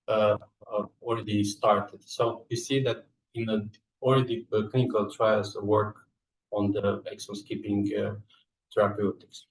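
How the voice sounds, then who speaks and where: A man with a Romanian accent giving a scientific slide presentation in a halting, hesitant way with frequent filled pauses. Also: on the low side. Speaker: research scientist and lab coordinator presenting. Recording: echoey room, distant microphone.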